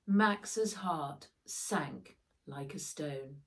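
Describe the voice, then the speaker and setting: A woman narrating a children's storybook expressively, with deliberate, dramatic emphasis, as the author reading aloud. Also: low voice.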